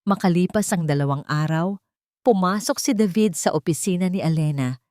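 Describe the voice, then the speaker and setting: A low-voiced woman narrates a scripted love drama. Her delivery is measured and solemn, like serious storytelling. The sound is very dry, with no echo around the voice. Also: a little mellow.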